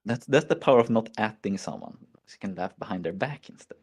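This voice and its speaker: A man's voice: lowish voice, lively intonation, brisk, darkish tone, slightly rough. A man with a Swedish accent chatting casually on a stream, rambling and mocking, with many fillers. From Sweden. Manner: rambling, mocking, frequent "like" and "you know". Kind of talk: casual chat.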